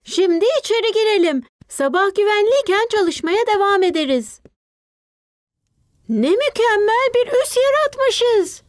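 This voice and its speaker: A woman voicing an eager, excitable young child playing adventure games in a cartoon dub. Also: extremely high pitch, measured pace, no echo, clean studio sound.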